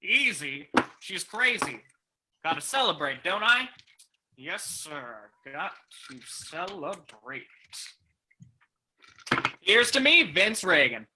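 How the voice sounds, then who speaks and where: A man's voice: high voice, sing-song, slow pace, long pauses, heavily acted. A man playing a shifty, hard-drinking saloon keeper in an old-time radio drama, blustering and stammering, on a noisy recording. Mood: boastful, tipsy.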